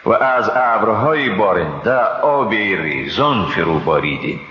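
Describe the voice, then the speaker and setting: A man's voice: fairly low pitch, sing-song. A man with an Afghan Dari accent, solemnly reading a translation of scripture. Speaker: translation reader. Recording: echoey, long reverb, dull and noisy.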